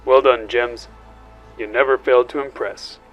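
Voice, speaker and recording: An actor playing a calm, calculating, authoritative administrator in a sci-fi audio drama, speaking formally and deliberately. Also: male voice, medium pitch, faint music behind, close to an everyday voice, poor audio. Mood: commanding, approving.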